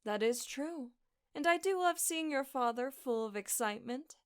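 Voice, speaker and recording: A woman playing a gentle, doting mother in an audio drama, tender and genteel in manner. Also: high voice, animated, normal speed, smooth. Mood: affectionate.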